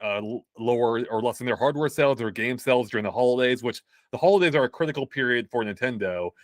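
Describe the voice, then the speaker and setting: A man's voice: mid-range voice, no room sound, studio quality. A man appearing as a guest on a gaming chat show, laying out release history precisely and explaining his points. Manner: quick, explanatory, precise about dates. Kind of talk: casual video game chat.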